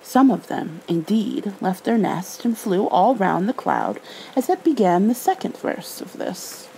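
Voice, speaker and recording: A woman reading a storybook softly in ASMR style, with a gentle, motherly, soothing manner. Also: medium pitch, big pitch swings, a little slow, creaky, a little hiss or hum, low-quality recording. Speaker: ASMR roleplayer, bedtime story reader. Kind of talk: soft ASMR storybook narration.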